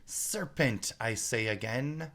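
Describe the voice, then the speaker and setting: A man's voice: mid-range voice, slow, a bit bright. A man voicing an exasperated, contemptuous mother pigeon in a storybook read-aloud, complaining dramatically. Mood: accusing. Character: indignant, fretful mother pigeon, shrill and contemptuous.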